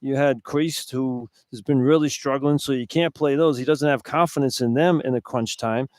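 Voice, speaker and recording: A man's voice: medium pitch, steady tone, moderate pace, darkish tone, no room sound. A middle-aged or older man gives conversational color analysis on sports radio. His voice sits in the middle range and he speaks bluntly, with emphatic, colloquial phrasing. The sound is dry and close. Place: indoor arena broadcast position.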